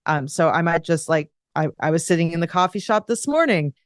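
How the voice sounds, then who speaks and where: A woman's voice: fairly low pitch, animated, a little fast, no room sound, studio quality. A woman talking as a guest on a live podcast, chatty and full of restarts. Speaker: guest grammar advisor. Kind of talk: casual live podcast chat.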